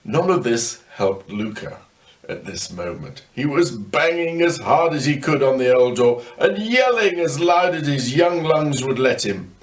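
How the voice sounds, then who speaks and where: An older man reading a children's ghost story aloud in a wry way, with dramatic pauses. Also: medium pitch, lively intonation. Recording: distant microphone.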